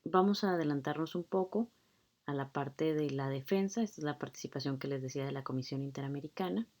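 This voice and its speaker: Woman lecturer, deep for a woman, conversational explainer with fillers. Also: fast talker, a little mellow. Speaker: law lecturer. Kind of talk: explaining a court hearing video.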